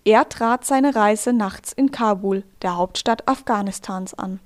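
A woman hosting a radio feature from a studio, telling the story in calm, measured, scripted narration. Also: medium pitch. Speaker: radio host.

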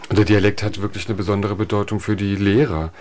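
A man with a fairly low voice speaks as a guest in a radio interview. He explains speech practice in a measured, teacherly manner and reaches for everyday examples. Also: little pitch movement, a little mellow, a little hiss or hum. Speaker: speech trainer at a university.

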